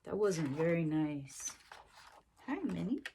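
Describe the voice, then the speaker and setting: A woman's voice: on the low side, very animated, slow, warm tone, a little hiss or hum. A woman reading a storybook to young children expressively, adding sympathetic little asides.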